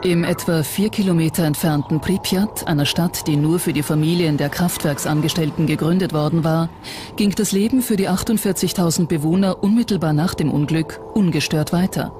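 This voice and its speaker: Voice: mid-range voice, fairly quick. A documentary narrator reading a scripted account. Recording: music under the voice. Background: background music.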